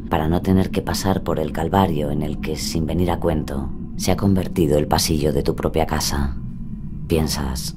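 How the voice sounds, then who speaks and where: A man giving a hushed, suspenseful scripted narration in a deliberate way. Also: rumbling low. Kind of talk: dramatic scripted narration in second person. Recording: clear background ambience noise.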